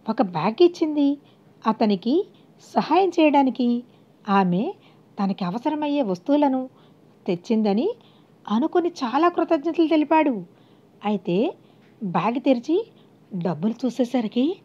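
High, lively woman storyteller, dull recording. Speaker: audiobook storyteller. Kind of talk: scripted moral story narration.

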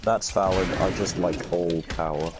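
A man calmly explaining game tactics to friends while playing. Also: sits low, normal speed, loud music behind the voice. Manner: calm, explanatory, gives advice. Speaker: gaming stream co-player. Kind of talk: casual gaming chat.

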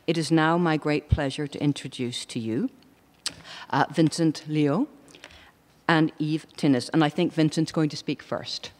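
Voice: mid-range voice, fairly even intonation, unhurried. Host reading a formal introduction. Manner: reads a prepared introduction. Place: lecture hall. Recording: long reverb of a lecture hall.

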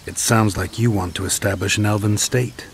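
An actor playing a terse, skeptical monster-hunting swordsman in a video game, gravelly and dry, speaking in clipped phrases. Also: fairly low pitch, fairly even intonation, moderate pace, slight background noise. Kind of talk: game dialogue acting.

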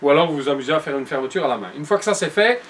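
A man's voice: fairly high pitch, lively intonation, very fast, fairly bright tone, a little hiss or hum. A man in his forties patiently giving step-by-step upholstery instructions in his workshop showroom, recorded on the camera mic. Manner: patient, explanatory, addresses viewer directly. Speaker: upholsterer demonstrating cushion making.

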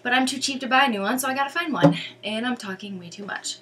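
Hoarse young woman chatting to webcam. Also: a little high, quick pace, sharp tone, slight background noise. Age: young adult. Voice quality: raspy, cracky voice. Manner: chatty, rambling, self-deprecating. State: hoarse from screaming at a concert. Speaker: singer covering a song on ukulele. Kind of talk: casual chat to camera. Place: bedroom, indoors, seated on a bed. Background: ukulele strumming.

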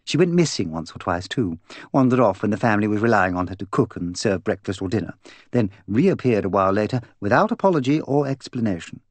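A man's voice: lowish voice, expressive, fast, no echo. A man voicing an imperious, gossipy, opinionated English woman in an audiobook, bossy, emphatic and voluble. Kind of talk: audiobook character dialogue.

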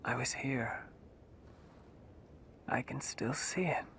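An actor playing a brooding, enigmatic young man in a video game cutscene, speaking in a measured, solemn, breathy way. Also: medium pitch, fairly bright tone, in a whisper. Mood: haunted, reflective. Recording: noisy game cutscene audio.

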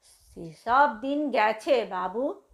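A humble old caretaker of a crumbling mansion, acted out by a woman storyteller. The speech is very slow and deferential, with a rustic servant's turn of phrase and a fairly high pitch for an old man. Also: animated, gravelly, full character voice. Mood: wistful. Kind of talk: dramatised story reading.

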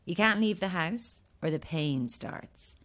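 Low-voiced, jaded party captive. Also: female voice, lively intonation, slow, a bit bright. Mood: ominous, weary. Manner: dry, blunt, world-weary. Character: jaded, sardonic woman trapped at an endless cursed party. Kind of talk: audio drama acting.

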